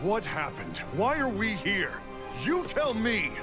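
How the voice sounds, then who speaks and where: A man's voice: high-pitched, very animated, slow pace, music in the background, full character voice. An actor playing an agitated, demanding young man in a fantasy video game cutscene.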